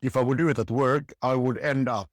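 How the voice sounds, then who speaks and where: A middle-aged man, a guest in a casual interview, talking in halting bursts with many restarts. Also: mid-range voice, steady tone, no echo, studio quality. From Sweden. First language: Swedish. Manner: halting, restarts often, frequent "yeah". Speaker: podcast guest.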